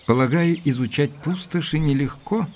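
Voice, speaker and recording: An actor playing a reserved, sceptical gentleman investigator who tells his story in a measured way in an audio drama. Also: a little high, slight background noise, lightly acted. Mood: curious. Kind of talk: dramatised first-person narration and dialogue.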